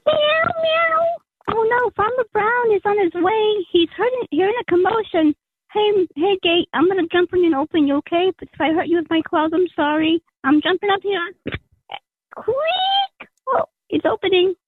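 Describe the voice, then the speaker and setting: A woman's voice: very high voice, animated, normal speed, no room sound. A woman voicing an eager, scheming farm cat in an improvised comic story. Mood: urgent. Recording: thin phone-like audio.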